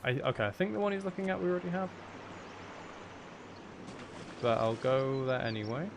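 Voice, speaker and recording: A man's voice: mid-range voice, lively intonation, very fast, background noise. A man chatting casually on a live gaming stream, rambling and half talking to himself as he plays. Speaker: game streamer.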